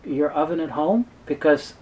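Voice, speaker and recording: A man giving a halting, explanatory technical talk as an expert guest in an interview. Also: on the high side, a little mellow, a little hiss or hum, low-quality recording. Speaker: guest expert on reel-to-reel tape.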